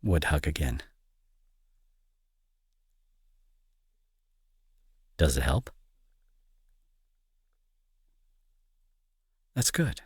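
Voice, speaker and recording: A remorseful young bully turned anxious caretaker, played by a man, with a low and very husky voice. He speaks softly and hesitantly, earnest and reassuring, his pitch moving expressively. It has the close, intimate feel of a roleplay audio drama. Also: no echo, exaggerated voice. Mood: lightly teasing, caring.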